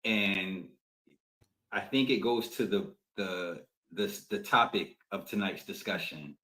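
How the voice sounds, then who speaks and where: A man co-hosting a podcast in casual conversation, thinking aloud in a reflective, deliberate way. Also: sits low, pushed and bright, low-quality recording. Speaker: podcast co-host.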